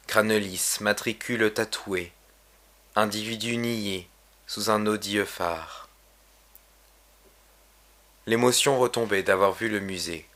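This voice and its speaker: A man reading his own poem aloud in a solemn, measured, literary recitation. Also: on the low side, bright, forceful tone. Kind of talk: poetry recitation.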